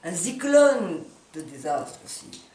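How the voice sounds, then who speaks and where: A woman's voice: on the low side, big pitch swings, a little mellow. Woman speaking from afar in a roomy space. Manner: halting, emphatic, repeating words and phrases. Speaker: speaker giving a personal appeal. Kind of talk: impromptu impassioned monologue to camera. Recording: roomy, distant mic with background noise.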